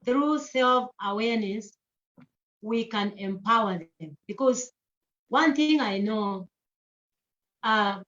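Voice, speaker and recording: A woman's voice: a little high, slow, long pauses, fairly bright tone, lo-fi sound. A woman from Kenya speaking earnestly as a guest in a panel talk, repeating herself and restarting phrases. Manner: earnest, repetitive, with many restarts. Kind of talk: panel talk answering questions.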